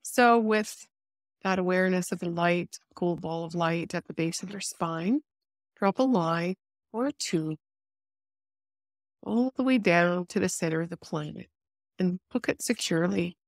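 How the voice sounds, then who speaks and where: A woman leading a guided meditation, giving soothing, unhurried instructions with pauses between phrases. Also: on the low side, no echo, clean studio sound.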